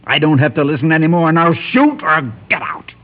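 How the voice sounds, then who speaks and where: A man's voice: fairly high pitch, sing-song, brisk, narrow, tinny audio. An actor playing a bitter, vengeful western man with terse rustic speech in a vintage radio drama. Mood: angry, threatening. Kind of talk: 1950s radio western acting. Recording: noisy band-limited vintage radio recording.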